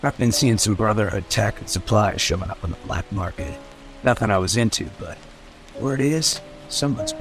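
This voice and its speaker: An actor playing a cocky, irreverent rogue mayor with a teasing, swaggering manner in a radio drama. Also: male voice, on the low side, normal speed, soft background music, natural voice. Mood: sly. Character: roguish, cocky male mayor, irreverent troublemaker. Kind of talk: old-time radio drama acting.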